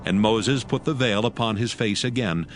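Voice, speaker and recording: Solemn low-voiced male scripture narrator. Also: slight background noise. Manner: measured, solemn. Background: faint music.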